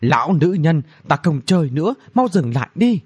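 A man voicing a shrill, bratty young princess. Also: very animated, normal speed, slightly rough. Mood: annoyed. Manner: whiny, insulting, exclamatory.

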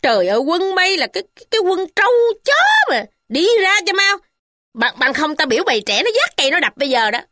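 A woman reading a story, voicing a sharp-tongued, domineering older mother-in-law who scolds harshly. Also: sits high, big pitch swings, unhurried, no echo, clean studio sound, exaggerated voice. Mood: furious.

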